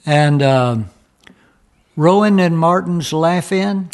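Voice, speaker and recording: A man's voice: fairly high pitch, slow, a little mellow. A man, a musician guest, telling stories in a casual, rambling chat and searching for his words.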